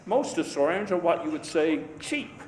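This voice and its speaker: A man playing a genial, self-deprecating old statesman who tells stories in a halting, folksy after-dinner speech. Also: a little high, expressive, a little mellow, lightly acted. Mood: wry, amused. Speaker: historical reenactor giving a speech. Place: large indoor hall before an audience. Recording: echoey hall, noisy live recording.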